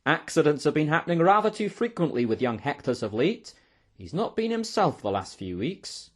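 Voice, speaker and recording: A man voicing a bad-tempered innkeeper in a storybook, scolding gruffly with a snarl. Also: on the high side, very animated, normal speed, voice pushed up. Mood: exasperated.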